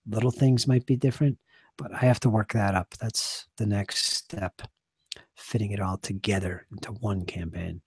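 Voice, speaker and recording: A middle-aged man with a hoarse, husky voice talks loosely to his viewers, rambling and trailing off into near-murmurs. His voice sits a bit low, and the sound is close and dry from a desk microphone in a small study. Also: no echo. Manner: rambling, earnest, trailing off quietly. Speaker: game master and channel host. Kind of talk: casual live-stream chat. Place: small home study with shelves.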